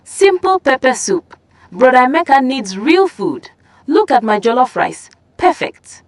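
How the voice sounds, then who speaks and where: A woman's voice: high voice, sing-song, unhurried, no room sound, voice pushed up. A woman voicing boastful, scornful young village women who compete as rivals in a folktale. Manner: haughty, competitive.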